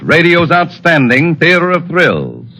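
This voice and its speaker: A man's voice: fairly high pitch, huge pitch swings, a little slow. A man introducing a radio drama in an emphatic, dramatic 1940s announcer style, on a vintage broadcast recording. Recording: vintage radio broadcast, loud music behind. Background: loud music.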